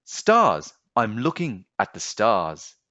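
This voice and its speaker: A man's voice: on the low side, huge pitch swings, slow pace, sharp tone, no echo, dull audio, full character voice. A man voicing a young boy in a storybook, earnest, hesitant and full of wonder. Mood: wondering, eager. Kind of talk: storybook character voice.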